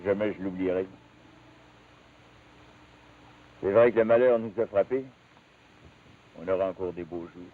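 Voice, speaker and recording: An actor playing a rough, ardent rural logger in a 1950s television drama, with a Quebec French accent and earnest, colloquial speech. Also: male voice, low voice, brisk, lightly acted. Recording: muffled, tinny 1950s television soundtrack.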